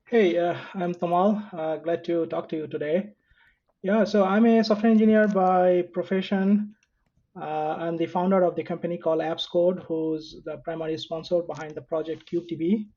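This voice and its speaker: A man with a Bangladeshi accent speaking casually as an interview guest, halting and correcting himself as he goes. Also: a little high, steady tone. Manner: halting, conversational, frequent self-corrections. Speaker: interview guest, software engineer and founder. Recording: dull, lo-fi remote connection.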